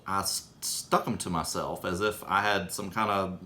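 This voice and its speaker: A man with a very low voice tells a story in an offhand, conversational way. He hesitates and corrects himself as he wanders along. There is some background noise on the recording. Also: fairly even intonation, normal speed, bright, forceful tone. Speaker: storyteller recalling his own childhood. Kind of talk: casual, rambling anecdote told in conversation.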